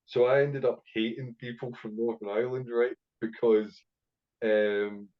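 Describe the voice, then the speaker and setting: A man's voice: medium pitch, fairly even intonation, unhurried, phone-quality sound. A man with a Scottish accent telling stories as a podcast guest, swearing freely and rambling with plenty of fillers. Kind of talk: casual storytelling interview.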